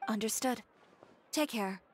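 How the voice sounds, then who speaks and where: An actress playing a proud, headstrong young fashion designer in a video game, curt and self-assured. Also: female voice, a little high, a little slow, faint music behind, natural voice. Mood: determined.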